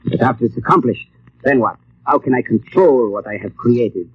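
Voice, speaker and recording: A man playing a grandiose, menacing villain in a 1940s radio drama, speaking in a slow, pompous, deliberate way. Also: medium pitch, husky, dry recording. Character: grandiose, menacing male villain plotting world chaos. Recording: muffled old radio recording.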